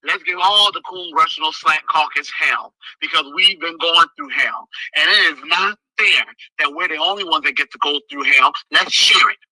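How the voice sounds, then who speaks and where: Male candidate, emotional, forceful speaker, high voice, close and dry. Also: normal speed, hardly any pauses, no room sound, rough recording. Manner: strong, forceful voice; emotional, fiery delivery. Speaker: political candidate. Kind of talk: impassioned thank-you speech.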